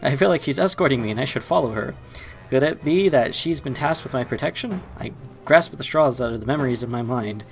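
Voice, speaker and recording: A man's voice: mid-range voice, expressive, fairly quick, creaky, soft background music. A man hosting a let's play, mixing casual game commentary with reading story text aloud.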